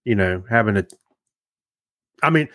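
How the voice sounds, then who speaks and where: A man's voice: fairly low pitch, lively intonation, a little slow, a bit bright, no room sound. Laughing, rambling man, dry close sound. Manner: rambling, laughs often, restarts. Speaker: podcast co-host. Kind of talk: casual banter.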